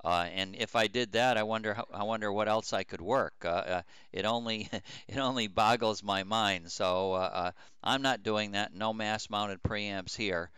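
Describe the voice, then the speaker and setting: An older man chatting unscripted as an amateur radio operator, explaining things in a halting, repetitive way. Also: lowish voice, fairly even intonation, a bit bright, clear, resonant tone, no room sound, clean studio sound. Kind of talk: unscripted ham radio chat.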